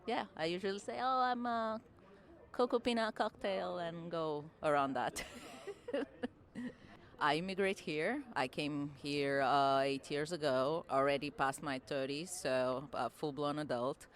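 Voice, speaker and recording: Low-voiced woman, laughing often. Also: a little slow. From born in Romania, raised in Angola. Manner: reflective, laughs easily, nonnative phrasing. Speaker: interviewee at a festival. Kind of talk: conversational interview answers.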